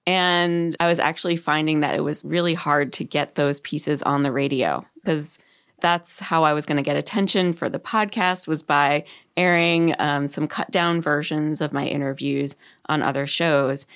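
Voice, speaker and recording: Deep-voiced woman, thoughtful interview guest. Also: steady tone, moderate pace, a bit bright. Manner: thoughtful, laughs easily. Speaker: podcast host, guest. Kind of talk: conversational interview.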